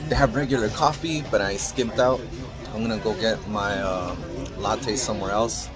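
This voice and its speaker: Upbeat man, thirties, casual vlogger, handheld camera in a diner with loud music behind. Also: lowish voice, moderate pace. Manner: upbeat, chatty, narrating as he films. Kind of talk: casual food vlog walkthrough. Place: small diner indoors. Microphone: handheld camera at arm's length. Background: music playing.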